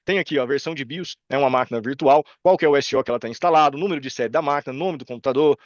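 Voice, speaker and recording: Casting: Brazilian male presenter, Brazilian Portuguese accent, chatty and quick, informal tutorial delivery, close dry sound with slightly lo-fi tone. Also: mid-range voice, no room sound. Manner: informal, chatty, checks in with listeners. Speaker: presenter demonstrating software. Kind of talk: informal live screen-share tutorial. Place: indoor room on a video call.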